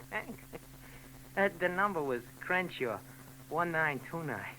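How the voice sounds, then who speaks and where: An actor playing a giggling, stammering, underweight lush in a 1940s radio drama. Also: male voice, on the high side, very animated, slow pace, sharp tone, slight background noise, exaggerated voice, rough recording. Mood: wheedling. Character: giggly scrawny barfly man, wheedling lush.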